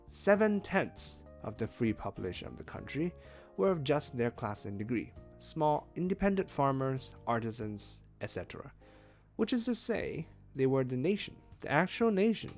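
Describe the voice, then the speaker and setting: A man reading a novel aloud as a streamed read-along, with expressive, emphatic phrasing. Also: medium pitch, moderate pace, faint music behind. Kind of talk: read-along audiobook narration.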